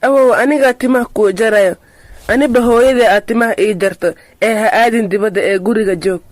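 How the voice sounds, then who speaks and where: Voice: mid-range voice, quick pace, dry recording, natural voice. An actor with a Somali accent playing an eager, caring young grandson in a radio drama.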